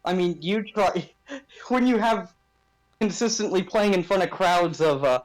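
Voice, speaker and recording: High-voiced man in casual sports chat. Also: measured pace, rough recording. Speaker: sports podcast host.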